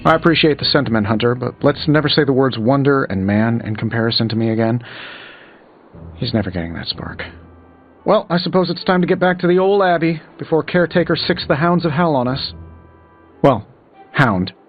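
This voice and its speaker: An actor playing a cocky superhero inventor in a video game, sarcastic and quippy. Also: male voice, medium pitch, animated, a little fast, close to an everyday voice. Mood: wry. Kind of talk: video game character dialogue. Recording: slightly muffled, music behind.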